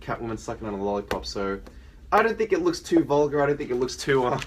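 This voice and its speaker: A man's voice: mid-range voice, big pitch swings, fast. A man co-hosting a fan show, chatting casually and a little hesitantly as he shows off his haul. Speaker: co-host of a comics fan show. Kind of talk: casual show-and-tell chat. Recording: noisy room ambience.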